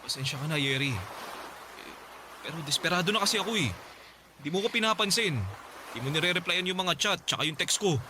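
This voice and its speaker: A man's voice: on the high side, animated, brisk, long pauses, background noise, natural voice. A man playing a desperate, obsessive ex-boyfriend in a radio drama, pleading and stammering with volatile self-pity. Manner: stammering, pleading, repeating himself. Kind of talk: melodramatic radio drama acting.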